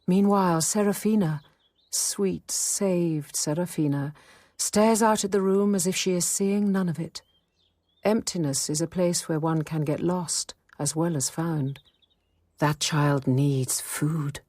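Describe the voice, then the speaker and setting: An actress playing a wry, sceptical nun who narrates a radio drama in a measured, intimate first-person manner. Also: female voice, lowish voice, no room sound. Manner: measured, intimate, quietly dry.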